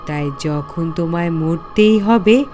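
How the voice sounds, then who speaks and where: A woman acts out a cruel female demon of the hair for a horror cartoon. She speaks low and very slowly, taunting and seductive, with menace in every phrase. Faint music plays underneath. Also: dark tone. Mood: coldly coaxing. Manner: slow, threatening, taunting delivery.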